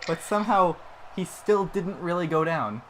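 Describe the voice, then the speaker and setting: A man's voice: high voice, animated, normal speed, fairly bright tone, slight background noise, rough recording. A man giving casual, playful commentary on a wrestling game, often laughing. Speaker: gaming commentator. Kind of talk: casual let's-play commentary.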